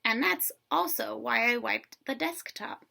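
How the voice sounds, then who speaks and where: A woman voicing a calm, instructive schoolteacher in a children's storybook, firm and explanatory with the pupils. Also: on the high side, a little slow, vocal fry, full character voice. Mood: explaining.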